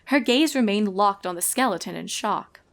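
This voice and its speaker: A woman's voice: medium pitch, lively intonation, moderate pace, gravelly. A woman reading a fan fiction story aloud as narrator, in a scripted storytelling style.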